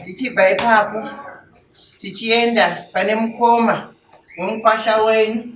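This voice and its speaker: A woman's voice: a little high, steady tone, measured pace, a bit bright. A woman with a Zimbabwean accent playing the groom's aunt, formally and respectfully introducing relatives in a dramatized marriage ceremony. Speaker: groom's family representative. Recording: echoey, distant mic, faint noise.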